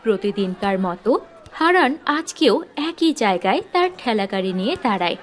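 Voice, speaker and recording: A woman's voice: medium pitch, sing-song, moderate pace, slight background noise. Female narrator of a cartoon story.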